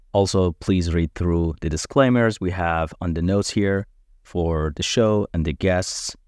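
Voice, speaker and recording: A man whose first language is Farsi, hosting a podcast and giving an earnest, measured introduction. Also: low voice, darkish tone, no room sound, clean studio sound. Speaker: podcast host.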